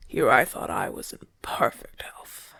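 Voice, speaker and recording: A man playing a proud, wounded gladiator champion in an ASMR audio roleplay, speaking in a weary, halting, breathy way with gruff bluster. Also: medium pitch, very animated, pushed and bright, no echo, heavily acted. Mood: wry, uneasy. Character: wounded male gladiator champion, brash, proud, trusting his doctor.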